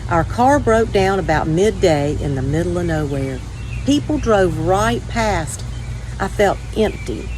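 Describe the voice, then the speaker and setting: A woman's voice: on the low side, expressive, unhurried, noisy background. A woman reading a children's storybook aloud as a first-person narration. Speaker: story time reader.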